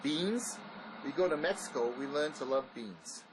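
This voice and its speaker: Bossy desperate fugitive played by a man, animated pitch. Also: moderate pace, background noise, close to an everyday voice. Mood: bossy, dry.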